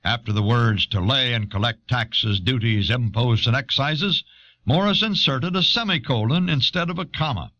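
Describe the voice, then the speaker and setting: A man reading scripted historical narration in a measured, authoritative manner. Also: mid-range voice, bright, forceful tone. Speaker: documentary narrator. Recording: dull old audio cassette.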